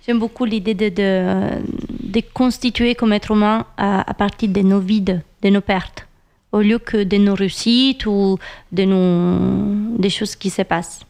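A woman's voice: mid-range voice, fairly even intonation, unhurried, creaky, darkish tone. A woman interviewer asking questions and searching for her words.

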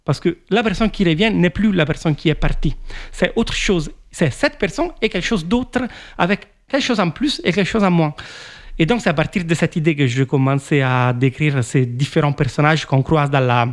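A man's voice: a little high, moderate pace. Italian man, thoughtful and hesitant. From Italy. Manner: thoughtful, hesitant, with frequent restarts and fillers. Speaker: author being interviewed. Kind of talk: reflective conversational answers.

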